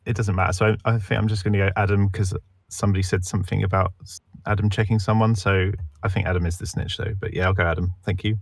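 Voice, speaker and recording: A man with a fairly low voice talks through his choice in an online game. He is laid-back and mumbles his reasoning as he goes. His mic is dry and close. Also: little pitch movement, fast, vocal fry, no room sound. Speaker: player. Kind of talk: casual game chat.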